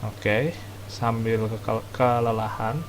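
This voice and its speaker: A man's voice: fairly low pitch, level tone, slow. A man with an Indonesian accent giving casual, informal gameplay commentary as a streamer, mixing in English words. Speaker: game streamer. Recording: clear music behind the voice.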